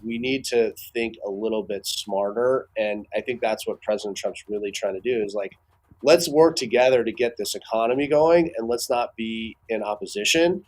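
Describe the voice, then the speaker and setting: Male business guest, mid-pitched, conversational and halting, explaining his opinions in an interview. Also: poor audio. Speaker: business owner giving expert commentary as guest. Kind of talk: casual interview commentary.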